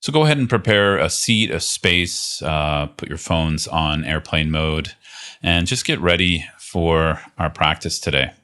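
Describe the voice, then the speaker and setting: A man leading a guided meditation as a teacher, speaking gently and unhurriedly with long pauses. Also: extremely low pitch.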